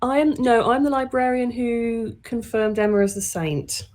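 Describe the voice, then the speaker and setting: A woman's voice: on the high side, normal speed, a little mellow. A woman playing in an online game, speaking hesitantly with many ums. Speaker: player. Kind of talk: casual game chat.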